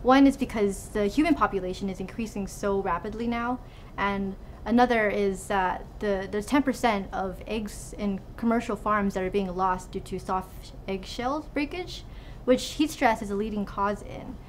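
A woman's voice: medium pitch, normal speed, slight background noise. A woman in her twenties from Hawaii, a student researcher giving informal interview answers in a laboratory. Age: 20s. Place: indoors in a laboratory.

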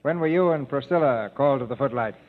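A man's voice: mid-range voice, quick pace. A man hosting an old radio show, calling the roll in genial, theatrical scripted banter. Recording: muffled old radio broadcast in a hall.

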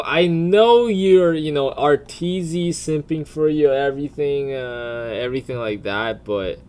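A man giving casual off-the-cuff commentary in a crude, profane, blunt way, often deliberating out loud. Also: medium pitch. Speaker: video creator.

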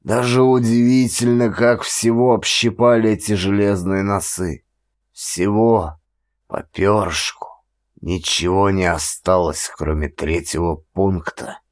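A man acting a slow-talking, boastful old ex-policeman. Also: sits low, steady tone. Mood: wistful, aggrieved. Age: older. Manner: slow, theatrical, folksy storytelling. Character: aggrieved old former policeman boastfully recalling past exploits. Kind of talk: dramatic audiobook acting.

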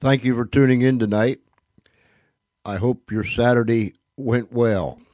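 Male radio host, folksy announcer, unhurried delivery, close dry sound. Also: medium pitch, steady tone, no echo, dull audio.

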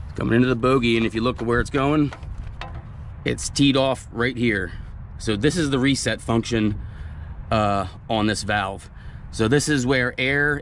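A man's voice: mid-range voice, a little hiss or hum. A man explaining a trailer repair outdoors in a plain-spoken, hands-on way, with frequent fillers, recorded on a body-worn camera. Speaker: truck repair technician. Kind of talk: hands-on technical demonstration. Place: outdoor gravel lot by trailers.

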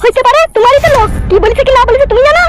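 Shrill, very high-pitched nagging wife acted by a woman. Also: rapid-fire. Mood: furious. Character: sharp-tongued, defiant village wife, foul-mouthed. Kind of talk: amateur comedy cartoon dialogue.

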